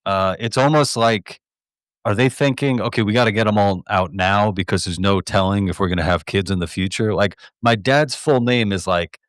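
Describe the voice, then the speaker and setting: Low-voiced joking podcast host, a man. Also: normal speed, no echo, studio quality. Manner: joking, loose and irreverent. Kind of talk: casual chat.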